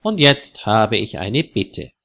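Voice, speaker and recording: Guy doing a loud jokey street musician, slow storybook read. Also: on the low side, very animated, vocal fry, exaggerated voice. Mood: joking. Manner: loud, playful. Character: cheerful male street musician, joking.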